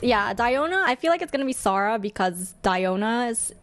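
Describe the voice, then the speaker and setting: A woman's voice: fairly high pitch, lively intonation, moderate pace, soft background music. A woman giving casual, chatty reaction commentary as host, with a playful, exclamatory manner. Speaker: host ranking game trailers.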